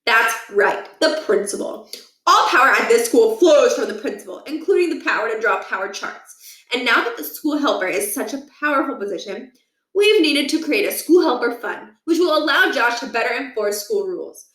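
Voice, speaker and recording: A woman's voice: a little high, animated, normal speed, a bit bright. A woman voicing a pompous, power-hungry older male school principal in a children's story, lecturing with condescension and menace. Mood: domineering. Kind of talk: children's book read aloud. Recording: echoey room, distant from mic.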